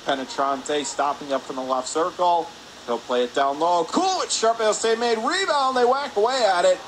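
A man doing live radio play-by-play hockey commentary in rapid, descriptive calls. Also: sits high. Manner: rapid descriptive calls, excited on scoring chances. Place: press area above rink in an indoor arena. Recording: noisy ice arena, steady background din.

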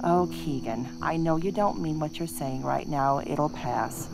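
Low-voiced scornful wife played by a woman, music behind. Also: a little slow, creaky, low-quality recording. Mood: bitter, possessive. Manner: sarcastic, taunting. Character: scornful, bitter, clinging wife, mocking and venomous. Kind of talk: scripted audio horror drama.

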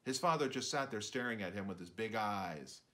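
A man in his fifties narrating a children's book aloud in an expressive, theatrical way. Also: fairly low pitch, normal speed. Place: indoors, book-lined room.

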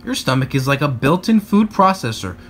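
A man reading aloud to children in an expressive, playful storybook style. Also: mid-range voice, a little fast. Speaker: storybook reader. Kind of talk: animated read-aloud narration for children.

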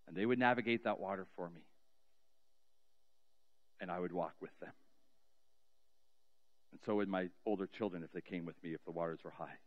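A middle-aged man preaching as a pastor in a church sanctuary, telling a story earnestly and slowing down with soft, trailing endings. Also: deep voice, narrow, tinny audio. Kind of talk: sermon storytelling and spoken prayer. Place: large church sanctuary, indoors. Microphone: cheek headset mic.

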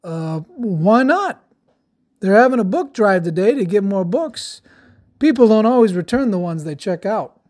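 A woman plays an easygoing cat father in a children's story. He sounds upbeat and encouraging, in an ordinary middle pitch. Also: animated, unhurried, a little mellow, natural voice. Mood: cheerful.

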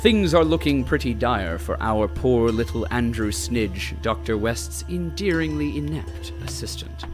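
A man's voice: mid-range voice, lively intonation, a little slow, hardly any pauses, close to an everyday voice. Man playing a theatrical radio serial announcer over music. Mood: suspenseful. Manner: theatrical, portentous. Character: grandiose old-time radio serial announcer. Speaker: narrator and announcer of a radio drama. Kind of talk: scripted radio serial narration.

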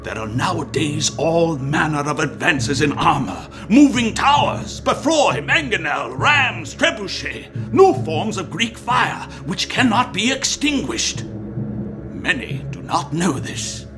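Pompous, swooping Templar marshal. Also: male voice, fairly high pitch, sing-song, takes it slowly, music in the background. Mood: grave, lecturing. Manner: expansive, lecturing, emphatic. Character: pompous, zealous Templar marshal, battle-hungry commander. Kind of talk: historical audio drama acting.

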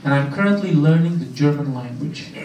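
A young man from Romania doing a stand-up set on a small club stage with a handheld mic, delivering deadpan punchlines and chatting with the crowd. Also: on the high side, a little fast. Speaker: stand-up comedian. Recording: roomy club sound, camera far off. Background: audience laughter.

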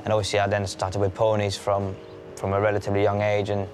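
Low flat-voiced young jockey. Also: male voice, fast talker, a little hiss or hum. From Norway. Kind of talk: casual interview answers.

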